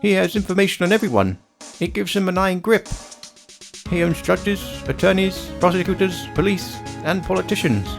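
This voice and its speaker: A man's voice: high voice, moderate pace, gravelly, music in the background. An actor playing a troubled, blustery old attorney in a video game, with an old-fashioned, grandfatherly way of talking. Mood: grave, resigned. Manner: blustering, old-fashioned, stammering when flustered. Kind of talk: dramatic video game character acting.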